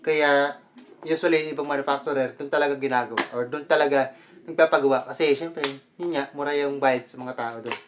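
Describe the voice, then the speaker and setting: A man's voice: medium pitch, steady tone, a little fast, noisy background. Filipino man casually presenting an unboxing. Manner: conversational, code-switches Tagalog and English. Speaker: vlogger. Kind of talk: casual product unboxing vlog.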